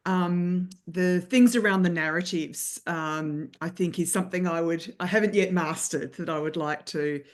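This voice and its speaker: A woman's voice: fairly low pitch, normal speed, hardly any pauses. A woman, an HR coaching consultant, introducing herself on a video call with light jokes and hesitations. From Australia.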